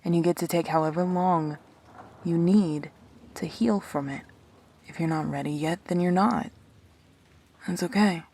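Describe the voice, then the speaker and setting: Actress as sardonic immortal bestie in audio drama, deep for a woman, husky, blunt and dry. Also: steady tone, measured pace, long pauses, mellow. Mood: firm, reassuring. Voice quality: low, husky. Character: wry, teasing, protective immortal woman, sweary best friend. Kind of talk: audio roleplay drama acting.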